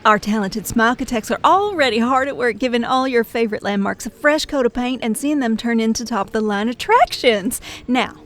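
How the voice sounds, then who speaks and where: Woman as a high-voiced, relentlessly cheery corporate spokeswoman. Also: lively intonation, normal speed, close to an everyday voice. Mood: gushing cheer. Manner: gushing, polished public-relations cheer. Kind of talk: scripted press conference speech.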